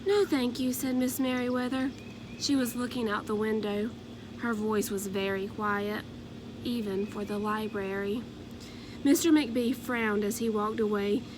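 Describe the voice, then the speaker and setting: A woman narrating a children's storybook aloud in an expressive, deliberate way. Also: mid-range voice, noisy background.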